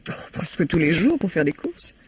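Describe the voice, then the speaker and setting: A woman playing a defensive, indignant wife under police questioning in a radio mystery, with a breathy, husky voice and haughty manner. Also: deep voice, big pitch swings, moderate pace, a little mellow, heavily acted. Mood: guarded. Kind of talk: French radio mystery drama acting.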